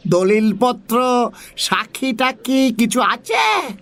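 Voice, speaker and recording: A woman voicing a pompous, mock-sorrowful crocodile lawyer in a deliberate, speechifying storybook manner. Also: fairly high pitch, sing-song, a bit bright, full character voice.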